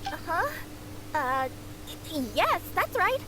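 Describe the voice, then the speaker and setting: Eager young cat-girl courier, very high lively voice, polite, flustered, video game, noisy capture. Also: slow pace, full character voice. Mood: nervous, flustered. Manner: earnest, stammering when nervous. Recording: game capture with clear background noise.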